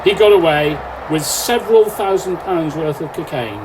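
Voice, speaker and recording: A man's voice: a little high, animated, moderate pace, background noise, lightly acted. A man acting an earnest, authoritative off-duty policeman in an amateur radio play. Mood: calm, reassuring.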